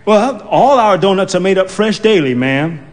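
A man's voice: sits high, expressive, moderate pace, pitched above the performer's own voice. Brief: jittery, courteous teen counter boy, played by a male storyteller, in a reverberant hall. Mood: polite. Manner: politely nervous. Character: polite teenage boy clerk. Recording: hall reverb, faint noise.

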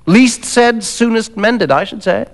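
A man voicing a cynical, dapper young doctor in a story, brisk, worldly and sneering. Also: high voice, voice pushed up. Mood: cool, insistent.

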